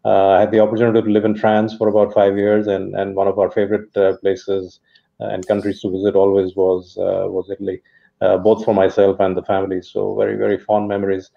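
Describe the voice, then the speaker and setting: A man, a company executive, answering in a steady, thoughtful business interview over a video call. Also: fairly low pitch, fairly quick, vocal fry. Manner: steady, thoughtful, many uh fillers. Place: home study. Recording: dull lo-fi video call audio.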